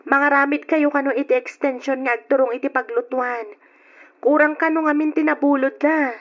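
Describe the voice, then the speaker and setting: Woman acting young Ilocano sweetheart, very high plaintive voice, old radio drama, muffled lo-fi recording. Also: fairly even intonation, brisk, natural voice. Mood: tender, casual. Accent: Filipino, Ilocano. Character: young woman, grieving partner of Sandro, devastated and tender.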